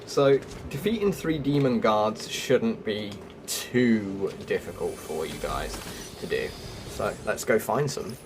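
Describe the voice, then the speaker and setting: A man's voice: fairly low pitch, animated, slow pace, background noise. A man hosting a video game walkthrough, giving casual running commentary on the play. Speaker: gaming video host.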